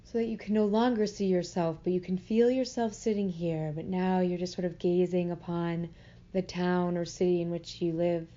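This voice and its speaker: A woman leading a guided meditation in a soothing, gentle manner, unhurried with long pauses, on slightly muffled audio. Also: fairly low pitch, steady tone.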